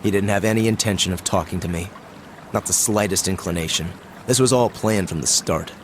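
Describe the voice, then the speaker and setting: Water runs audibly behind a man acting as a haughty young aristocrat from a video game. His voice sits low, and he speaks in formal, clipped phrases, defiant and dryly sardonic. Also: a little fast, natural voice. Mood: bitter, composed. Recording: clear water noise behind voice. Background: running water ambience.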